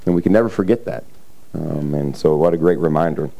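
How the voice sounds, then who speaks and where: A middle-aged man preaching a sermon, a little raspy, talking in a loose conversational way with frequent fillers and throat clearing. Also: extremely low pitch, fairly quick, mellow, low-quality recording. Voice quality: slightly raspy from cheering. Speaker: pastor. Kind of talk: sermon with scripture reading.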